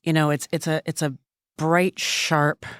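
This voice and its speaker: Low smoky-voiced woman in her sixties. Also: little pitch movement, a little slow, clear, resonant tone, dry recording, clean studio sound. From Minnesota. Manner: soft-spoken, reflective storyteller. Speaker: podcast guest, journalist. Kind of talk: casual conversation. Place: recording studio.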